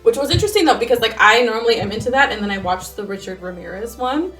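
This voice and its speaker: A woman's voice: on the high side, expressive, fast talker, a bit bright, faint music behind. A woman co-hosting a casual podcast, chatting in a candid, emphatic way and swearing freely. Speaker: podcast co-host. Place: home studio garage.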